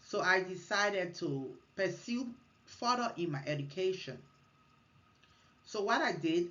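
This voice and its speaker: A woman's voice: on the low side, fairly bright tone, highs cut off. A woman from Liberia, giving an earnest recorded class presentation, partly read and with small restarts. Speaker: student presenter.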